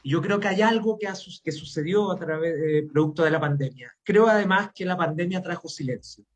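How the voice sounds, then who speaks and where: A man's voice: on the high side, brisk. A middle-aged man with a Chilean accent giving reflective interview answers, repeating words as he thinks. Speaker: interview guest, playwright and academic.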